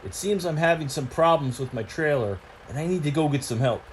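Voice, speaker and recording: A man's voice: a little high, animated, brisk, a little hiss or hum, voice pushed up, close to an everyday voice. A man voicing a formal, secretive male hauler truck who speaks in a clipped, stern way.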